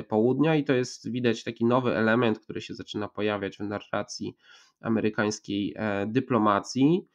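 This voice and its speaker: A man in his thirties giving a measured geopolitical analysis over a video call from a room at home, with many hesitations and restarts. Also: fairly low pitch. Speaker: geopolitics commentator. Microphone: video call, earphones with mic. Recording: slightly dull lo-fi call audio.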